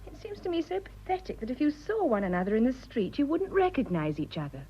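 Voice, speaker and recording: Poised upper-class English wife, lilting. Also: female voice, high voice, very animated, quick pace, warm tone, muffled recording, slight background noise. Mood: gentle, wry. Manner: light, coaxing, politely sociable. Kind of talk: stage comedy of manners radio acting.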